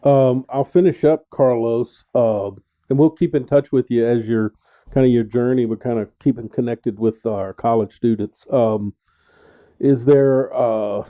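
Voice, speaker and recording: A man's voice: mid-range voice, fairly even intonation, unhurried, mellow. A middle-aged man hosting a casual radio interview, rambling and joking with plenty of restarts. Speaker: radio show host.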